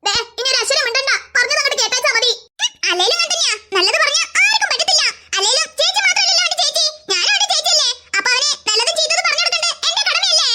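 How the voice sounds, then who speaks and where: Cartoon big sister voiced by a performer, bossy, teasing, very high, fast, noisy track. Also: lively intonation. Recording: loud background noise.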